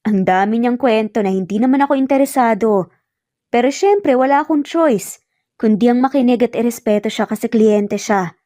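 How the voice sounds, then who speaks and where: A woman voicing an earnest, teasing young male engineer in an audio romance story, speaking gently and sincerely with bits of English mixed in. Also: a little high, moderate pace, no room sound, studio quality, natural voice. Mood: calm explaining.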